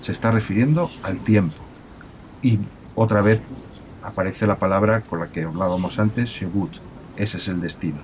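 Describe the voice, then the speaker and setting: A man's voice: fairly low pitch, moderate pace, darkish tone, a little hiss or hum, lightly acted, poor audio. A man playing an amiable professor of ancient languages, explaining in an emphatic, didactic and formal way, with many restarts, as in a recorded interview. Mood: absorbed, emphatic. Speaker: university professor of biblical languages.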